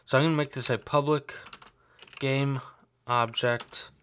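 A young man with a slight sore throat, talking through a screen-recorded coding tutorial in a relaxed, explanatory way. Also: mid-range voice, a little slow, clear, resonant tone. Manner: relaxed, explanatory, thinks aloud with fillers. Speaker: tutorial host.

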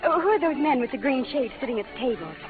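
Young frontier bride-to-be, sweet, curious, a little hesitant, high voice, tinny radio sound. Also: female voice, expressive, fast. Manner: polite, hesitant. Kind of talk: 1940s radio western acting. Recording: tinny old radio recording, music behind.

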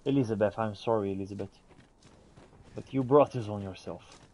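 A man giving expressive, exclamatory in-character gameplay commentary, thinking aloud as he roleplays. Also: on the low side, fairly quick, a little hiss or hum. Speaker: gamer roleplaying as a character in a playthrough.

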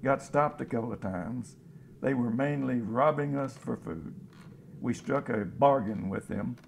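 An actor playing a folksy, plain-spoken, hopeful scavenger in a radio drama about survivors of a disaster. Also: male voice, medium pitch, unhurried, creaky, a little hiss or hum. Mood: reflective, matter-of-fact. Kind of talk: radio drama, interview monologue.

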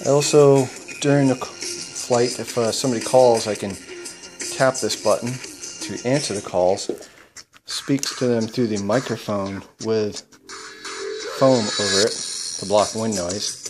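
A man's voice: lowish voice, unhurried, over music, poor audio. A man casually explaining his home-built gear in a plain, matter-of-fact way, recorded indoors at home. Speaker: paraglider showing his gear. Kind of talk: casual product demo.

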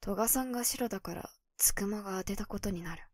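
An actress playing a calm, calculating young female werewolf in a voice drama, speaking matter-of-factly. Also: mid-range voice, fast talker, no echo, studio quality, lightly acted. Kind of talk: Japanese voice drama acting.

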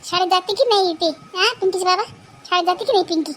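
A woman's voice: extremely high pitch, quick pace, fairly bright tone, a little hiss or hum, voice pushed up. A woman voicing an anxious, grumbling mother in a cartoon, with a fretful, complaining manner. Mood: worried. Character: grumbling, anxious mother just home from hospital.

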